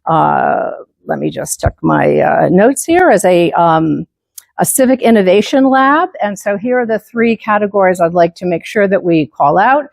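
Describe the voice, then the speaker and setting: A woman's voice: lowish voice, animated, moderate pace, gravelly, darkish tone, no echo. A woman, giving a conference talk as a section manager, with many fillers and restarts. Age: 70s. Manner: former teacher who moves about while speaking.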